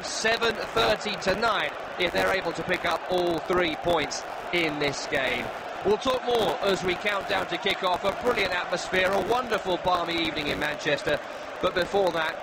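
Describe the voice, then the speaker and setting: A male sports radio presenter with a high voice. He hosts live football coverage, setting up the match for listeners. Loud stadium ambience and noise sit behind him throughout. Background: loud outdoor stadium ambience.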